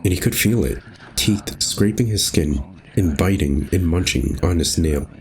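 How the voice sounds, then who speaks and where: Male game narrator and host, low-pitched delivery, faint noisy ambience underneath. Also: unhurried. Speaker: gaming video host and narrator. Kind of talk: reading visual novel narration aloud, with casual commentary.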